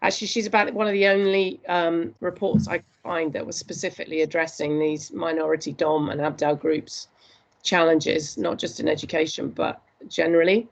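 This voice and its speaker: A woman presenting her postgraduate dissertation research in an earnest, steady academic talk, with frequent hesitations. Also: low voice, moderate pace, vocal fry, rough recording.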